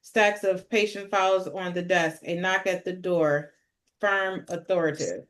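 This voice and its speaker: A woman's voice: on the low side, steady tone, measured pace, fairly bright tone. A woman reading out scene descriptions as the narrator of a script table read. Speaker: narrator reading stage directions.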